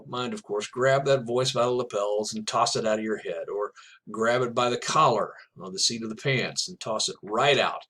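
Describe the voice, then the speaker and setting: A man's voice: mid-range voice, a bit bright. A man teaching writing in a video lesson. He talks casually and encouragingly, with small laughs now and then. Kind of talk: instructional video talk.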